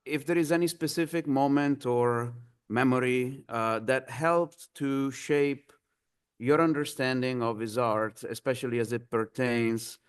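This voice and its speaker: A man's voice: medium pitch, fairly even intonation, unhurried, clean tone. Hesitant man moderating, full of fillers. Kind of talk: panel conversation.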